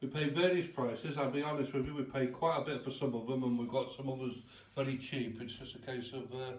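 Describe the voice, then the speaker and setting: A man's voice: medium pitch, fairly even intonation, a little fast, rough recording. A man with a British accent explaining things in casual, matter-of-fact chat as a vlog co-host.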